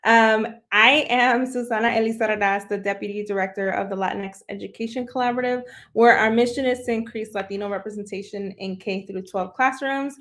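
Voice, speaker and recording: A woman's voice: mid-range voice, steady tone, fairly quick, few pauses, a bit bright. A woman hosting a casual live online interview, introducing things and prompting her guest conversationally. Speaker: host, nonprofit deputy director.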